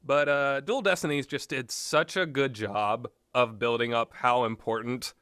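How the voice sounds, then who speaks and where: A man's voice: mid-range voice, steady tone, measured pace, a bit bright, clean tone, no echo, studio quality. A man giving unscripted, opinionated talk as a host, wandering off on tangents and swearing casually. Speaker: host of a video game discussion show.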